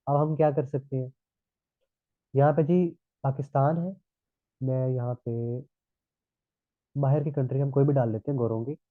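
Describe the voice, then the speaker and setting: A man's voice: mid-range voice, fairly even intonation, normal speed, long pauses, dry recording. A man from Pakistan with a sore throat, teaching a live online class and checking in with students as he explains. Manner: explanatory, repeats "thik hai" checks. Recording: tinny phone-like line.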